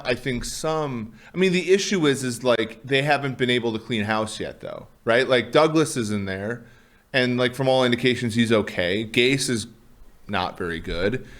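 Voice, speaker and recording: A man co-hosting a casual sports chat, talking loudly and rambling, with many restarts and fillers. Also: medium pitch. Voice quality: loud voice. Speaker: co-host.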